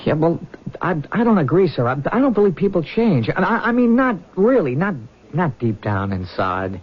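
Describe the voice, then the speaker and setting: Eager young soldier, higher-pitched voice, very lively intonation, halting earnest delivery, muffled old radio drama. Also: male voice. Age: young adult. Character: eager, earnest young army recruit in love.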